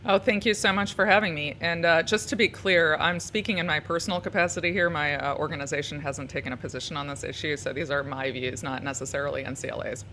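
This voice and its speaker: Female guest lawyer, bright voice, earnest and articulate, some ums. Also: sits low, fairly even intonation, fast, hardly any pauses, slight background noise. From United States. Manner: articulate, earnest, with frequent fillers. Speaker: civil liberties lawyer, guest. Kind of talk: interview answers.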